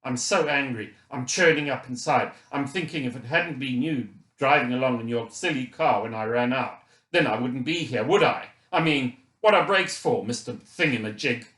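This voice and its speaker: A man's voice: mid-range voice, expressive, unhurried, a bit bright, echoey room. A man voicing an injured, frustrated boy who thinks aloud in a chatty, candid, colloquial way, as first-person audiobook narration. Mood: angry, sarcastic. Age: child.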